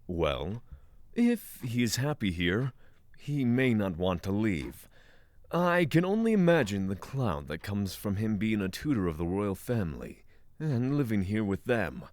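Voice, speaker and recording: A man's voice: on the low side, big pitch swings, a little slow, darkish tone, no room sound. A man playing a courteous, skeptical spiritual traveller in a fantasy audio drama, with formal, dryly inquisitive phrasing. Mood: questioning.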